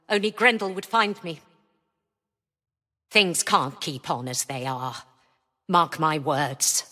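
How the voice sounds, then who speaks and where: An actress playing a cynical, commanding spaceship mistress in a video game, imperious, dismissive and dryly sardonic. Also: female voice, mid-range voice, sharp tone, full character voice. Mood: wry, guarded. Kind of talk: scripted video game voice lines. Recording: long reverberant hall echo.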